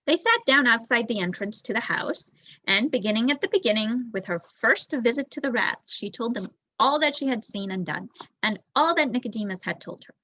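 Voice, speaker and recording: A young woman reading a children's story aloud in an expressive narrating style. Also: fairly high pitch, a little fast, no room sound, highs cut off. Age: young adult. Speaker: volunteer audiobook reader.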